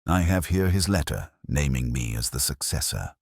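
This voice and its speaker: A man's voice: extremely low pitch, animated, moderate pace, gravelly, darkish tone, breathy edge, no room sound, studio quality, exaggerated voice. A man voicing a thin, obstinate town councillor in a mystery story, declaiming steadily and defiantly.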